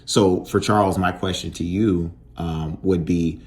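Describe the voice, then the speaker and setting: A man's voice: low voice, fairly even intonation, measured pace, a little mellow. A man hosting a podcast interview, easygoing and affirming as he asks long questions. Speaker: podcast host.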